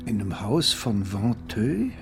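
A man's voice: fairly low pitch, sing-song, takes it slowly, creaky, heavily acted. A man playing a puzzled, plodding police sidekick in a German radio crime play. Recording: radio play with music underneath.

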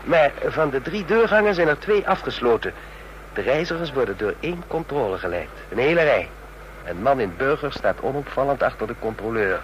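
An actor in a Dutch radio drama playing a chatty, smug train passenger obsessed with spy stories, talking in a knowing, needling way. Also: male voice, expressive, a little fast, muffled recording, natural voice. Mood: excited, observant. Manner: talkative, knowing, needling with rhetorical questions. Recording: noisy radio play with vehicle rumble.

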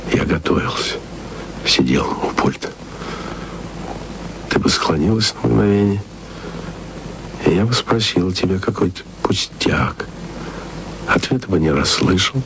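A lonely, tender radio archivist. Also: male voice, deep voice. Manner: hushed, confiding, murmured. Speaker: lead in a radio play. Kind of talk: intimate radio drama monologue. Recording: noisy studio recording.

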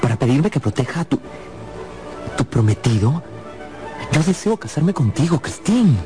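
An actor in a radio drama playing a devoted, protective young suitor, impassioned and theatrical. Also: male voice, fairly high pitch, big pitch swings, moderate pace. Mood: hurt, bewildered. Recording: music playing behind the voice. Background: music.

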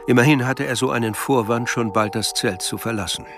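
A man's voice: mid-range voice, fairly quick, music in the background, natural voice. A man narrating a melancholy literary story in an audio drama. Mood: measured narration. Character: male narrator of a melancholy novel.

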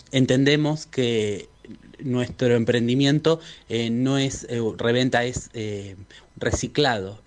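Man, Argentine Rioplatense accent, vendors' spokesperson, earnest, formally worded public statement. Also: mid-range voice.

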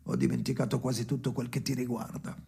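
An actor playing a brooding man haunted by his dead father in an experimental radio drama, theatrical and volatile, moving between muttering and pleading, with a gravelly voice. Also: medium pitch, little pitch movement, quick pace, mellow. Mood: troubled, regretful.

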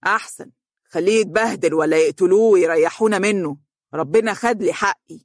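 Selfish Egyptian husband, voiced by a female narrator: irritable, scornful, husky, dry audiobook sound. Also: fairly low pitch, racing, dark tone, no room sound. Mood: scornful anger. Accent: Egyptian Arabic. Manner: scornful, impatient.

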